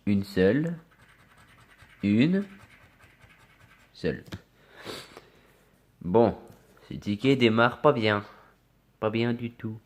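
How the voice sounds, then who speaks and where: Low-voiced man with a cold. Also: lively intonation, extremely slow, pauses often. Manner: muttering, spelling out letters one by one. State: has a cold. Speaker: scratch card vlogger. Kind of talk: casual commentary while scratching tickets.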